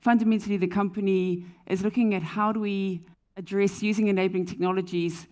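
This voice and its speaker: A woman's voice: mid-range voice, steady tone, brisk, a little mellow. A woman with a New Zealand accent, speaking as a panelist on a conference stage, fluent with frequent "um" fillers. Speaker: panelist, company founder. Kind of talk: panel discussion answer. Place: conference stage before an audience.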